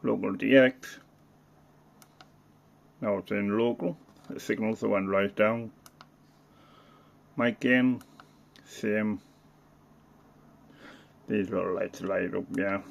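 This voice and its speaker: A man casually demonstrating a piece of radio equipment, talking in a halting way with muttered asides. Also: on the low side, steady tone, gravelly. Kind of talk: casual hands-on product demonstration.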